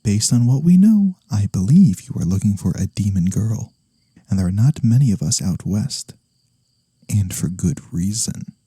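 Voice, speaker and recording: A man acts out a courteous incubus demon in an ASMR fantasy roleplay. The voice is low, very mellow and close, almost without room sound. He speaks slowly and formally, with a gentle teasing edge. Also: lively intonation, slightly rough, dry recording. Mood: intrigued, courteous.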